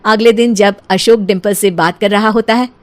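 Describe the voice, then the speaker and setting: A woman's voice: mid-range voice, fairly even intonation, moderate pace. A woman narrating a scripted comic cartoon story as its storyteller.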